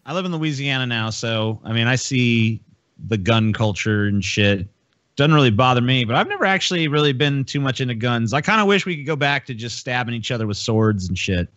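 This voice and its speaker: Guy podcast cohost, sharp bright voice, sweary and sarcastic, real dry close sound. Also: mid-range voice, expressive, a little fast, no echo, highs cut off. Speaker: podcast co-host. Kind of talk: casual, profane podcast banter.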